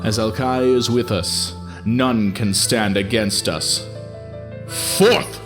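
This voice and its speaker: Man as a slow, commanding fantasy war leader. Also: fairly low pitch, steady tone, music in the background. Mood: rousing, resolute. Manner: declamatory, commanding. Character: devout male war leader rallying his fantasy army. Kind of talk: fantasy audio drama oratory.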